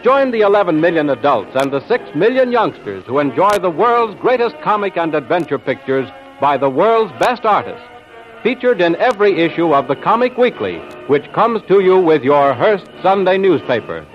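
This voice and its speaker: A male announcer declaims an old radio serial with sweeping, fairly high intonation. Music sits behind him on a dull vintage recording. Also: very animated, normal speed. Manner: dramatic, declamatory. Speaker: announcer and narrator. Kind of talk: 1940s radio serial narration.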